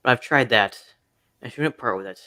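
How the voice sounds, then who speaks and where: An actor playing an upset, lovesick young English gentleman in a radio drama, with an agitated manner. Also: medium pitch, a little fast, lightly acted. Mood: upset, urgent.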